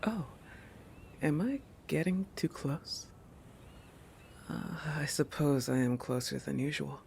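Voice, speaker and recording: A possessive shark predator played by a man speaks slowly in a creaky voice, often sinking to a breathy whisper. The delivery is intimate roleplay audio, musing and oblivious, full of thoughtful hums. A faint rumbling noise sits underneath. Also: expressive, a little mellow, heavily acted. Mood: flustered.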